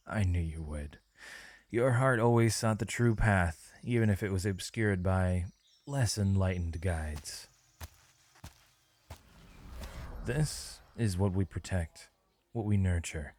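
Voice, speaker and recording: A man playing a wise, misunderstood mage in an ASMR roleplay, speaking softly in a solemn, measured, poetic and reassuring way. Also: low-pitched. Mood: warm, relieved. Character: misunderstood male mage, gentle, wise, mysterious, tenderly reassuring. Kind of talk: ASMR roleplay, scripted dramatic monologue.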